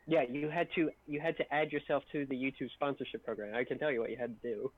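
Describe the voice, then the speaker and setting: A man's voice: medium pitch, fairly even intonation, fast. A man chatting casually on a group livestream, explaining things to the others. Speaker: stream co-host. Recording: dull, lo-fi voice chat audio.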